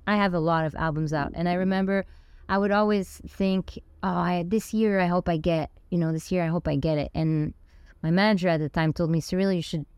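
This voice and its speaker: A woman guest on a podcast who talks in a relaxed, reflective way and often restarts her sentences. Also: on the low side, a little fast, clear, resonant tone, no room sound. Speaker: guest musician. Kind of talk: casual interview.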